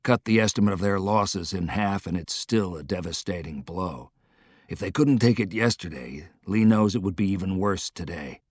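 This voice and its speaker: A man voicing a quiet, decisive general in an audiobook, clipped, understated and commanding. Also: low-pitched, normal speed, no room sound, clean studio sound. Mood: grave, measured. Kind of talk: audiobook character dialogue.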